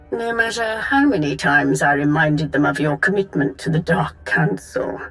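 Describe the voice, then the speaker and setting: An actress playing a haughty, ruthless Sith councillor in a video game, measured and icily polite. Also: female voice, medium pitch, a bit bright, lightly acted, low-quality recording. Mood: sarcastic. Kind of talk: video game cutscene acting.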